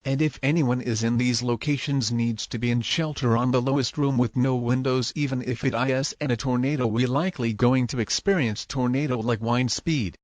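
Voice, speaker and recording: A man's voice: medium pitch, steady tone, moderate pace, few pauses, dry recording, close to an everyday voice. A man playing a local TV weatherman who gives news commentary on a tornado threat. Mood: serious warning. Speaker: TV weather presenter.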